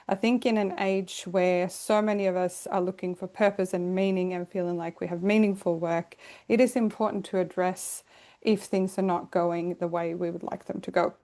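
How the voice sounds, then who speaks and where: A woman's voice: on the low side, fairly even intonation, moderate pace, warm tone. A middle-aged woman from Sweden, a career coach, hosting an online workshop over a video call in an upbeat, chatty and welcoming way with light laughs. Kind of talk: live online workshop presentation.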